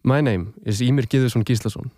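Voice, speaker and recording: A young man with an Icelandic accent, a native Icelandic speaker, narrating a scripted podcast as its host in a measured, reflective storytelling way. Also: mid-range voice, warm tone, no room sound, clean studio sound. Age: young adult.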